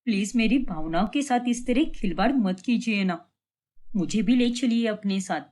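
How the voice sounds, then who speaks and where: A woman's voice: medium pitch, normal speed, a little mellow, natural voice. A woman voicing a vain, resentful middle-aged mother-in-law in a cartoon family drama, whiny and self-important. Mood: pleading.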